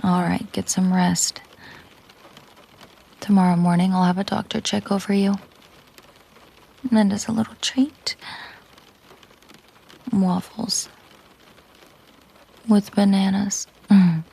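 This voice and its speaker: Woman playing a slow, breathy female mafia boss. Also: medium pitch, little pitch movement, full character voice. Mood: protective, possessive, then dry, reassuring. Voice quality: soft, breathy, often slipping into whisper. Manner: slow, deliberate, coolly possessive. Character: commanding female mafia boss, possessive, coldly tender girlfriend. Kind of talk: intimate roleplay audio drama, soft slow delivery.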